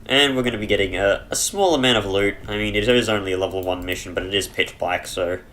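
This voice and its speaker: A man giving casual, rambling commentary over a dungeon game on a headset mic, chesty and clearing his throat, with dry humor. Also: on the low side, fast talker, a bit bright, slight background noise. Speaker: let's play gamer.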